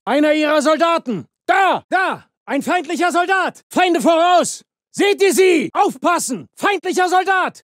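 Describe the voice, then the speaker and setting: A soldier in a video game battle. He shouts in a very high, strained register with a sharp, bright edge. Every line is barked with military urgency and force. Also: no echo, studio quality, heavily acted. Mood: fierce, alert. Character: gruff, commanding German soldier in battle, male.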